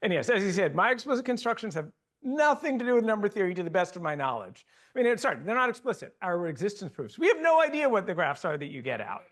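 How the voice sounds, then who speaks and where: A man's voice: sits high, very animated, quick pace, a bit bright. A man giving an academic lecture in a hall, thinking aloud and correcting himself as he goes.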